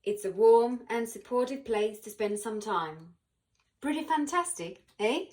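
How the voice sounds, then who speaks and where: A woman reading a children's picture book aloud in an animated, expressive way, with dramatic pauses and emphasis. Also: fairly high pitch. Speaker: volunteer children's book reader.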